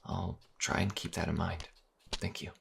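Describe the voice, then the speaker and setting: A man playing a young priest in a fantasy audio drama, nervous, modest and earnest, speaking hesitantly with a husky, gravelly voice. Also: low-pitched, little pitch movement, moderate pace, slight background noise. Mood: respectful. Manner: hesitant, stammering.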